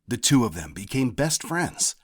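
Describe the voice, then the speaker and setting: A man narrates a children's picture book. His delivery is deliberate and expressive, and his pitch moves around freely as he tells the tale. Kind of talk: scripted read-aloud narration.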